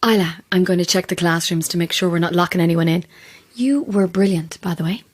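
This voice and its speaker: An actress in an audio drama playing a practical, brisk event organiser with a firm, matter-of-fact manner. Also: female voice, lowish voice, close to an everyday voice. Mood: friendly, brisk.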